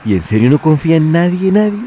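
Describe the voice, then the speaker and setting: An actor playing an earnest young priest in a radio drama, who asks calm, measured questions. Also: male voice, fairly high pitch, muffled recording, a little hiss or hum. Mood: probing.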